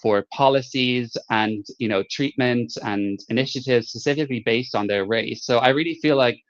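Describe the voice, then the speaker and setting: A male host asking long, measured questions in a live interview. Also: mid-range voice. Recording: dull lo-fi audio.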